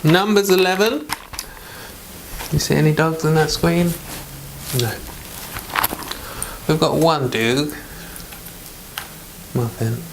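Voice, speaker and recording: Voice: medium pitch, slow, pauses often, background noise. A person reading the Bible aloud as host of an informal read-along, mixing plain chatty asides with formal scripture.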